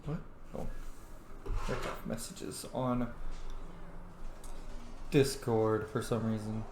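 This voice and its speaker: A man's voice: fairly low pitch, level tone, slow, vocal fry, noisy background. A man streaming a video game, chatting casually and reacting as he thinks aloud. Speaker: gaming streamer. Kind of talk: casual gameplay commentary. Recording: roomy echo.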